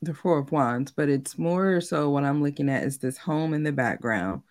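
A woman's voice: low-pitched, moderate pace, darkish tone. A woman leading a card reading in an intimate, conversational and affirming way. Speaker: card reader leading the session.

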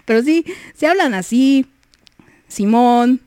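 A woman's voice: on the high side, animated, slow, dark tone, no room sound, studio quality. A young Mexican woman hosting a livestream, chatting casually, reading messages and giggling often. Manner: giggly, chatty, many fillers. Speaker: livestream host.

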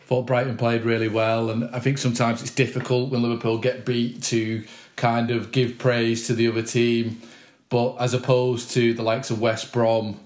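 A man's voice: medium pitch, level tone, normal speed. A man on a fan podcast, talking about football in a serious tone. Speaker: podcast co-host. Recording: echoey room.

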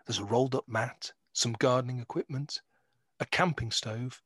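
Husky, dry-sounding male narrator. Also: mid-range voice, normal speed, fairly bright tone, no echo. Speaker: narrator reading stage directions. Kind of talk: scripted narration.

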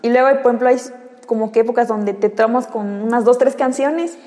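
A woman's voice: fairly high pitch, steady tone, brisk, darkish tone, in a large hall. A young woman from Yucatán with a mixed Yucatecan Mexican accent, chatting casually and laughing often. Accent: mixed Yucatecan Mexican Spanish. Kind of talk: casual chat.

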